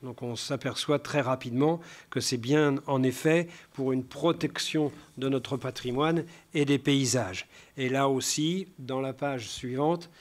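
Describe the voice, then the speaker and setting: Halting man presenting a council report. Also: mid-range voice. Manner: halting, hesitant delivery with frequent searching for words. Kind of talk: formal council presentation, semi-improvised from slides.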